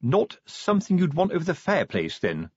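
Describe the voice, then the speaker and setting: A man's voice: a little high, wildly swooping pitch, fairly quick, breathy edge, no echo, muffled recording, pitched above the performer's own voice, heavily acted. A man voicing a respectful, stolid police sergeant in an audiobook story. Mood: dry. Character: deferential, plodding male police sergeant.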